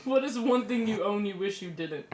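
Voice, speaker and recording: A woman chatting casually, playful and excitable as she puts questions to others. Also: mid-range voice, moderate pace, roomy sound, crowd noise. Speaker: podcast co-host reading questions. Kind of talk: casual chat.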